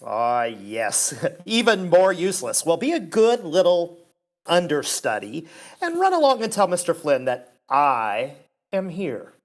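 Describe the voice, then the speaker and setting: A man's voice: on the high side, big pitch swings. An actor playing a pompous, condescending male theatre critic in a radio play, haughty and sneering. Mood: smug. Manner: haughty, condescending, slow and deliberate insults.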